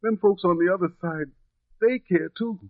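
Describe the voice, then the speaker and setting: An actor playing a humble, grateful young country man, speaking haltingly and earnestly in an old radio drama. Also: high-pitched, no room sound, close to an everyday voice. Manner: halting, earnest, folksy ungrammatical speech. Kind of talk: 1950s radio drama acting. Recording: tinny, phone-like old radio recording.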